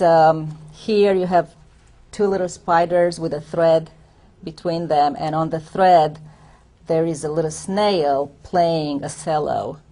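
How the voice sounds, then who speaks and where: Woman poet, low voice, reflective reading of her own prose poems with halting explanations. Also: warm tone. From Romania. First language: Romanian. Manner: unhurried, reflective, explains between poems with hesitant asides. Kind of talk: poetry reading with spoken commentary.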